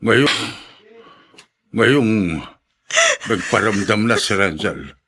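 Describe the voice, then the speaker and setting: A man's voice: fairly low pitch, drawn-out words, fairly bright tone, breathy edge, exaggerated voice. A man playing a pragmatic father of a grown daughter in a radio drama, matter-of-fact and questioning. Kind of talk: Tagalog radio drama acting.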